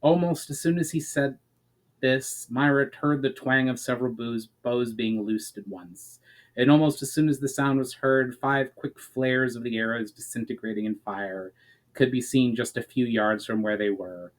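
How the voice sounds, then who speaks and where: A man's voice: mid-range voice, moderate pace, poor audio. Man giving a dramatic story reading. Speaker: author reading own fantasy book. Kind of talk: dramatic reading of fantasy narration.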